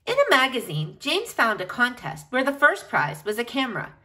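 A woman reading a picture book aloud to children in an expressive, animated way, speaking directly to her young listeners. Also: on the high side, moderate pace.

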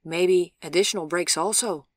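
A woman's voice: lowish voice, normal speed, no room sound, lightly acted. A blunt ambulance orderly from an audiobook, read by a woman. He sounds frankly amazed and speaks emphatically, in a dry, close recording. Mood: blunt, incredulous. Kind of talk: audiobook character voice.

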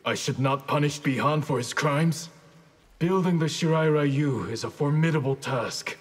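An actor playing a stern, honour-bound ninja grandmaster in a video game, with a husky voice and formal, solemn manner. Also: male voice, on the high side, unhurried. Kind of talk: video game fight intro dialogue.

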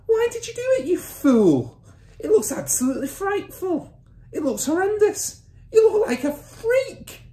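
A woman voicing a shrill, exasperated, scornful mother and wife from a children's story, shrieking and nagging. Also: big pitch swings, moderate pace, dark tone, echoey room, lightly acted. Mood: shrill horror.

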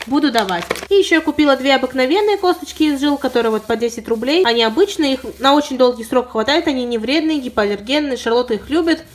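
A woman's voice: sits high, quick pace, hardly any pauses. A young woman with a sore throat, chattily reviewing pet products she bought, filmed at home. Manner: chatty, detailed, apologetic asides. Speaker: pet vlogger. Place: indoors at home.

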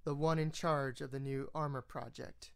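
Male pony captain of the guard voiced by a narrator, composed, matter-of-fact, smooth tone, storybook reading. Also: medium pitch, steady tone, moderate pace, close to an everyday voice.

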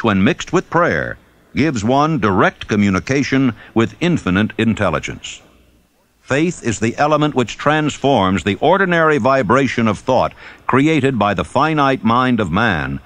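A man reading a self-help audiobook as scripted narration, with emphatic, measured phrasing. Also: mid-range voice, a bit bright.

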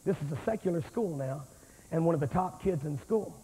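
A man in his forties preaching a revival sermon on a large church stage with a handheld microphone, telling a story in an animated, confrontational way. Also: on the high side, brisk. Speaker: evangelist preacher. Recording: long hall reverb, old VHS tape.

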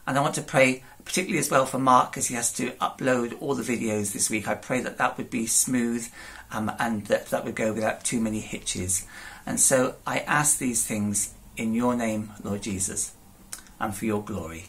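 A man in his forties, a pastor thanking his team and leading a steady, warm spoken prayer. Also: mid-range voice, normal speed.

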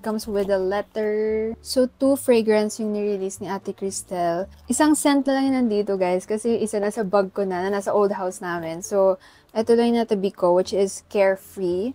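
A young Filipino woman talking to camera in a relaxed haul vlog. She chats easily at a middling pitch, mixing languages and tossing in "guys" every few lines. Recorded in a bedroom on a clip-on mic. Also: a little fast. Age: young adult. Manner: chatty Taglish, keeps saying "guys". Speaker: vlogger. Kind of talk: casual haul chat. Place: bedroom, seated on floor.

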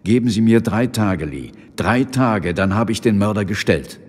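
A man's voice: on the low side, animated, normal speed, slightly rough, big-room sound. A man acting a determined police inspector, insolent but loyal, in a German radio drama. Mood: earnest, pleading.

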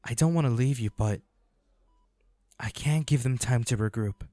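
A man playing a devoted boyfriend and weary, protective monster hunter in an audio roleplay, speaking earnestly in short, halting phrases. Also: mid-range voice, warm tone, dry recording, natural voice. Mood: tender, anxious.

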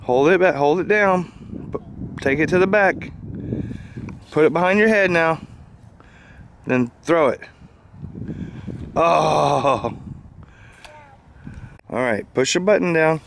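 A man's voice: a little high, slow pace, vocal fry, long pauses, slight background noise. Patient dad coaching his little girl. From Southern Illinois. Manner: patient, step-by-step coaching of a child. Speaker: father filming a toy review. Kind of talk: casual home video instruction.

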